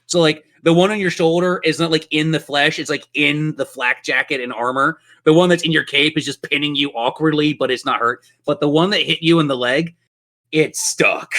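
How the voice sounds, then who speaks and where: A man's voice: fairly high pitch, fairly even intonation, moderate pace, pushed and bright. A man narrating a tabletop fantasy game as game master, with vivid, playful descriptions.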